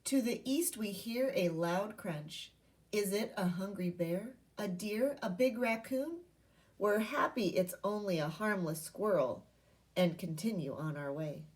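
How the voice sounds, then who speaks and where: Slow-paced woman teaching young children. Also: mid-range voice, distant mic. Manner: instructional, simple phrasing with questions to young children. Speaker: primary school teacher. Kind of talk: children's read-aloud lesson.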